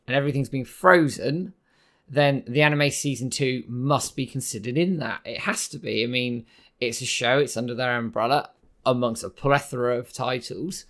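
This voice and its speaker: Hoarse man hosting a news video. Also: medium pitch, a bit bright. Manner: hesitant, many ums and sort-ofs. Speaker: host. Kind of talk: casual news commentary.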